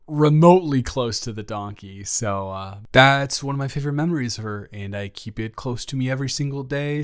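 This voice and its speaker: A man's voice: mid-range voice, smooth, natural voice. Man playing a rambling, flustered office boss. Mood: fond, amused. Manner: halting, rambling, stammering restarts. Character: friendly, flustered male office boss briefing a new intern. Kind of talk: improvised romantic roleplay audio, addressed to the listener.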